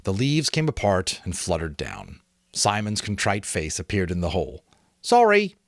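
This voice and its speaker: A middle-aged man giving a dramatic, expressive amateur audiobook reading of a novel. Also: low-pitched, moderate pace, no echo, clean studio sound. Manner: expressive, theatrical storytelling. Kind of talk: dramatic audiobook-style narration.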